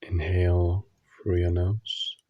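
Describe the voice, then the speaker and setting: Guy doing a guided meditation, really mellow dark voice, super slow with long gaps, gentle short instructions. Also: sits low, flat delivery, no echo, lo-fi sound.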